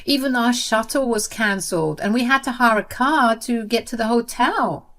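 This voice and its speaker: A woman's voice: moderate pace, a bit bright. A woman voicing an entitled adult sister-in-law who shrieks and demands, in a told story. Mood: shrill, outraged. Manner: shrill and demanding.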